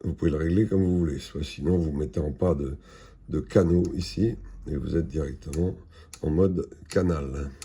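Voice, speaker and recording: Male product presenter, very dark husky tone, matter-of-fact and halting, unscripted demo. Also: very deep voice.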